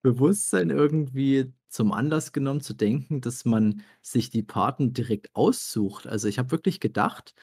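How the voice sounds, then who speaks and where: A man with a husky voice, chatting casually in a halting, self-deprecating way as he searches for words. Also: mid-range voice, darkish tone. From Germany. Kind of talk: casual anecdotal chat among friends.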